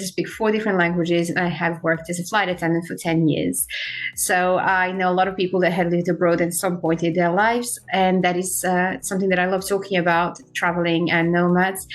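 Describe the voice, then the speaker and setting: Serbian-born woman hosting a chat. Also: on the low side. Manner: friendly, laughs often. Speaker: host. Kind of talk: casual interview chat.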